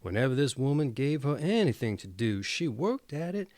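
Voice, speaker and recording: A man's voice: mid-range voice, big pitch swings, normal speed, darkish tone, dry recording. A man reading a fairy tale as host and storyteller, in a playful, theatrical and dramatic way.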